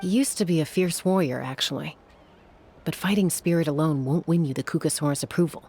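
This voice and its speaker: A woman playing a cool, frank young sky rider in an English game dub, measured and assured. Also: low-pitched, darkish tone, a little hiss or hum. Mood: matter-of-fact.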